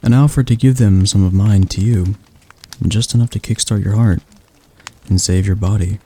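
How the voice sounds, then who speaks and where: A man voicing a calm, gentle male demon tutor in an ASMR roleplay, speaking softly and slowly with a slight stutter. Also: fairly low pitch, husky. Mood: soothing. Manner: soft, slow, soothing delivery.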